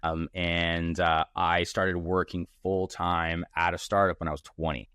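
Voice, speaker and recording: A man of thirty speaks in a low voice, casual and energetic. He thinks aloud as a founder on a podcast, restarting often. The sound is dry and close. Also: unhurried, clear, resonant tone, no room sound. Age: 30s. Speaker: startup founder, podcast guest. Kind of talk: casual interview conversation. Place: Toronto.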